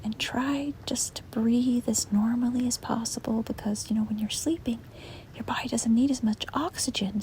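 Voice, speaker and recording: A woman playing a reluctant, apologetic sleep paralysis demon in a soft, hesitant, breathy ASMR whisper. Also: a little high, natural voice, rough recording. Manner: hesitant, rambling, soft-spoken. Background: rain and wind.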